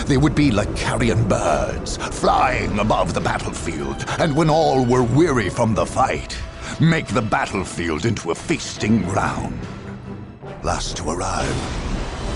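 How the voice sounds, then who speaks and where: A solemn, portentous chronicler of a grim sci-fi war, with a gravelly edge to his voice. He delivers dramatic game cutscene narration slowly, with wide, sweeping rises and falls in pitch. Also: heavily acted. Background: music.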